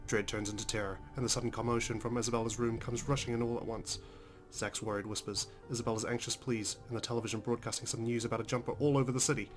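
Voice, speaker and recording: A man's voice: medium pitch, level tone, quick pace, hardly any pauses. A man hosting a let's play, reading visual novel narration aloud dramatically with casual, emotionally involved comments. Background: game music.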